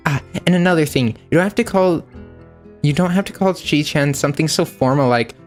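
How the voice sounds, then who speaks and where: A man voicing a cheerful, loud and friendly schoolgirl who talks eagerly. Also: fairly high pitch, brisk, faint music behind, voice pushed up, close to an everyday voice. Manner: bouncy, eager, laughs loudly.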